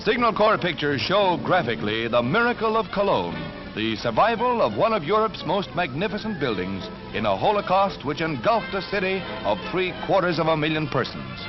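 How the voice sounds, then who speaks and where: A 1940s newsreel soundtrack, muffled and backed by prominent music, carries an American man's narration. His voice sits high and swings widely in pitch. He delivers the script with emphatic, dramatic announcer punch. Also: very animated, normal speed, hardly any pauses. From United States. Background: orchestral music.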